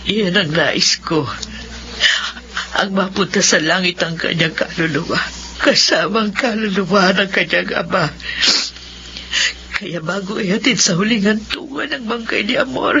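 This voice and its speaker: A woman's voice: mid-range voice, a little slow, pushed and bright. A Filipino woman playing a devout, grieving mother in a radio drama, sorrowful and solemn. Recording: dull, faint background noise.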